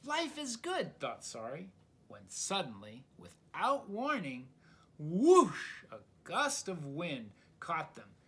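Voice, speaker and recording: Slow, animated man reading a children's story. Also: on the high side, slight background noise. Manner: dramatic, asks the listener questions. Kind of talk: expressive read-aloud storytelling for young children.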